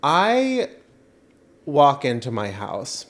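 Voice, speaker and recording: A man's voice: medium pitch, huge pitch swings, very slow. A man co-hosting a podcast in casual comedic banter, telling stories in a playful, self-mocking way. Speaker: podcast co-host.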